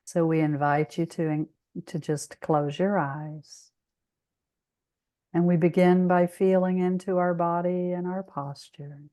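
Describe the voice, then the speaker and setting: Female meditation guide, soothing and very unhurried, mellow tone, close dry sound. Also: on the low side, fairly even intonation, no room sound. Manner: soothing, measured, with long pauses.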